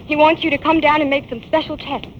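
A woman's voice: sits high, flat delivery, fast talker, natural voice. An actress playing a loyal, practical young woman assistant in a 1940s radio drama. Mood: concerned. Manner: plain, supportive questions. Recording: dull old radio transcription with faint hiss.

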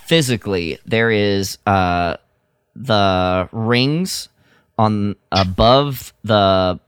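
Slow, smooth, low-voiced man chatting. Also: no room sound, studio quality. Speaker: podcast panelist and performer. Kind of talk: casual chat.

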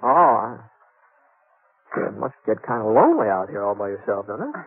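Halting, easygoing western drifter, husky old-radio sound. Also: male voice, on the low side, very animated, exaggerated voice. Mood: hesitant, polite. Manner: hesitant, halting, folksy drawl in phrasing. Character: laconic, easygoing drifting gunslinger, modest and courteous. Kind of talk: 1950s radio western acting and narration. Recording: dull old 1950s radio recording.

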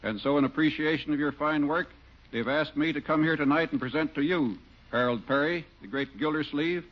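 A man's voice: fairly high pitch, a little fast. A man, a public water official, presenting an award on a 1940s radio comedy in a stiff, formal, read-out manner. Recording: dull 1940s radio broadcast recording.

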